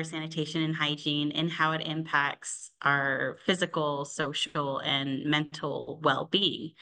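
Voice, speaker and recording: A woman in her thirties with a bright, forceful voice pitched a little low. She talks in a friendly way with a touch of nerves, as a podcast guest. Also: poor audio. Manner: friendly, slightly nervous. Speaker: research director. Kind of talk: podcast interview.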